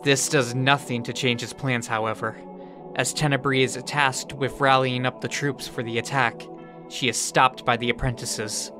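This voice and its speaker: A man's voice: mid-range voice, level tone, normal speed. A man reading scripted narration that recaps a fantasy story. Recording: music under the voice.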